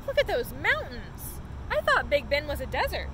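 Woman voicing a curious, impatient high-pitched little girl. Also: wildly swooping pitch, moderate pace. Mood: eager. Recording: outdoor noise of distant traffic.

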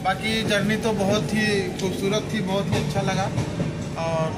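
A man's voice: high voice, fairly even intonation, normal speed, loud music behind the voice, rough recording. A young man filming a casual travel vlog on a phone at arm's length, chatting to his viewers like friends from a train and station platforms. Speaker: travel vlogger. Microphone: phone held at arm's length. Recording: loud train noise behind.

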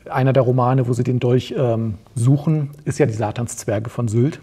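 A middle-aged man explaining things in casual conversation, somewhat haltingly. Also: medium pitch, fairly even intonation, mellow, slightly rough. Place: indoor studio set at a table.

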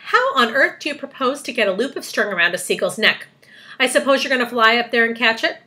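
A woman's voice: a little high, sing-song, a little fast, fairly bright tone, pitched above the performer's own voice. A woman voicing a brash, scornful male centipede in a storybook, blunt, mocking and bossy.

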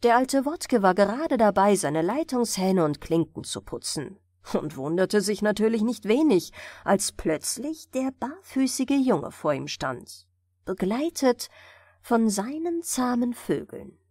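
Expressive woman reading a fairy tale. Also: mid-range voice, unhurried, dry recording, clean studio sound. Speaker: audiobook storyteller. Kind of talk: scripted fairy tale narration for bedtime.

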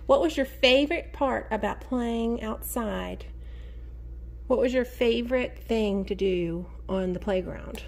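A woman teacher reading a picture book aloud to young children in an expressive sing-song way, encouraging them and asking them questions. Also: fairly high pitch, vocal fry. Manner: expressive, sing-song, drawn-out key words; encouraging, addresses children directly.

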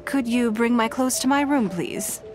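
A woman voicing a poised, elegant noblewoman leader in a video game, composed, gracious and measured. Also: fairly high pitch, music in the background, close to an everyday voice. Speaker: game character.

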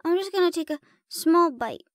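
A woman's voice: very high voice, expressive, moderate pace, no echo, studio quality. A woman voicing a timid, naive young spider girl in an audio roleplay, stammering and flustered. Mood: curious, excited.

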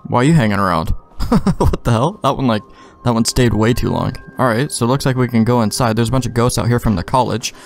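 A man's voice: mid-range voice, fast talker, vocal fry, few pauses, soft background music. A man doing casual gameplay commentary, thinking aloud in informal, mildly profane language. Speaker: let's play host.

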